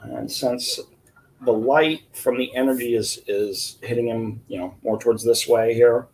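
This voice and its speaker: A man's voice: mid-range voice, measured pace, poor audio. A man with a stuffed nose, sniffling and casually explaining his coloring while drawing on a live stream. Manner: relaxed, explaining as he works. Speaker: comic artist.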